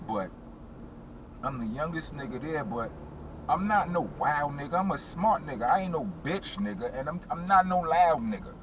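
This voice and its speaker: Man, New York accent, forties, relaxed storyteller, mic a bit distant, heavy road rumble. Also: moderate pace. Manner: laid-back storyteller, frequent "you understand what I'm saying". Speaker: rapper, interview guest. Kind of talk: casual storytelling interview. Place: inside a moving car. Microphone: camera mounted on dashboard. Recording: car interior with loud road noise.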